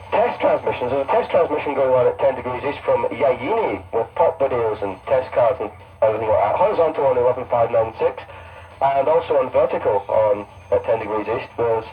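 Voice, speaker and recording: A man's voice: mid-range voice, lively intonation, a little fast. Guy hosting a pirate radio show off his notes, thin faraway shortwave sound. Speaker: shortwave radio station presenter. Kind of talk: casual radio announcing from notes. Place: pirate radio station broadcasting from Scotland. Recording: tinny, distant shortwave radio broadcast.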